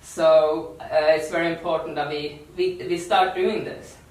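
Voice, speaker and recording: A woman from Norway with a Norwegian accent gives an informal workshop talk in non-native English, halting and repeating words. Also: sits low, fairly even intonation, darkish tone. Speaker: activist workshop speaker. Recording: echoey room, distant mic.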